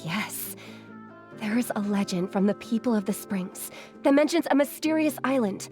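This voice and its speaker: A woman's voice: medium pitch, lively intonation, normal speed, a little mellow, soft background music, lightly acted. A woman playing a patient, apologetic young female scholar in a video game, courteous and formal. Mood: explaining. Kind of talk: video game character acting.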